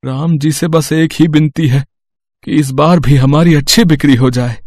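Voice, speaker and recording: A man acting a humble high-voiced poor village toy-maker. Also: fairly even intonation, normal speed, darkish tone, no echo, studio quality, close to an everyday voice. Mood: humble, hopeful. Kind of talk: Hindi moral-story cartoon dubbing.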